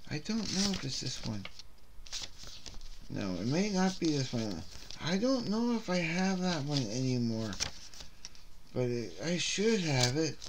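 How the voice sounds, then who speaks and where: A man's voice: a little high, big pitch swings, a little slow, long pauses. A man hosting his own CD review series, chatting casually and rambling, repeating words and restarting sentences, with a slightly scratchy throat. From Canada. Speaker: host of a CD review video series. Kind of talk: casual unscripted music album review.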